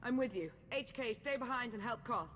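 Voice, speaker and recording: An actress playing a composed warrior adviser in a sci-fi video game, wry, clipped and commanding. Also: female voice, on the high side, animated, fairly quick, a bit bright. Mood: urgent, wry. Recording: very noisy battle-filled game audio.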